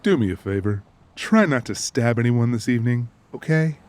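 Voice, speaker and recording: Man playing an exasperated wisecracking buddy, mid-pitched. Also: animated, moderate pace, a little mellow, close to an everyday voice. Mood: weary. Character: exasperated, wisecracking everyman sidekick to a killer. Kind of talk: audiobook character acting.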